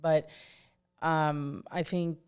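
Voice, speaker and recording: A woman co-hosting a podcast who talks loudly and sociably in casual chat, questioning the others. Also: low voice, little pitch movement, extremely slow, clean tone. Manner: loud, outgoing, questioning. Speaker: podcast co-host.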